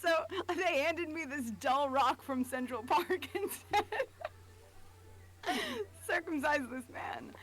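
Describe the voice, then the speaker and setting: A woman's voice: high-pitched, slow, long pauses, fairly bright tone. A woman co-hosting a casual livestream, chatting in a joking, giggly, profane way. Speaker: stream co-host.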